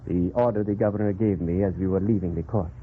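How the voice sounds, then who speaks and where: Man acting a low-voiced fatherly priest, old radio. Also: fairly even intonation, quick pace, natural voice. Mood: pleased, teasing. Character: kindly, steadfast priest and fatherly mentor. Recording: tinny, noisy 1940s radio recording.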